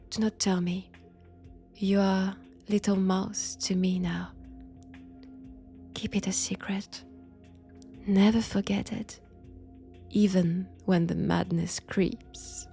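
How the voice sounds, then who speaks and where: A woman playing a mad, possessive young vampire in a roleplay audio, with a French accent and a slow, hushed, intimate delivery that often slips into whispers. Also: mid-range voice, steady tone, gravelly, breathy edge, soft background music, heavily acted. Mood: teasing, secretive.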